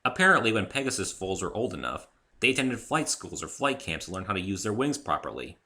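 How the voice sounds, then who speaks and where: A man narrating a story in the first person as a dramatic reading, expressive and deliberate. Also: on the low side.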